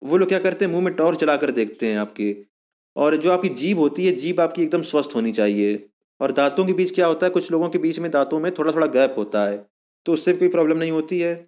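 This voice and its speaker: A man's voice: fairly high pitch, animated, fast, darkish tone. A man giving an informal explanatory lesson as an exam coaching presenter, going step by step and repeating himself to recap.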